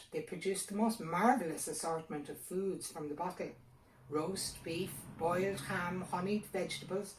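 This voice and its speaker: Woman storyteller, Irish accent, lower register, scripted folktale read, roomy and off-mic. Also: a little mellow. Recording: echoey room, distant mic.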